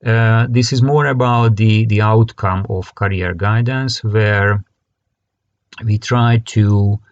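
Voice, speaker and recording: A man with a Hungarian accent giving an academic conference lecture in halting, hesitant phrasing that often repeats words. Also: on the low side, creaky, dull audio. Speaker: conference presenter, career guidance expert.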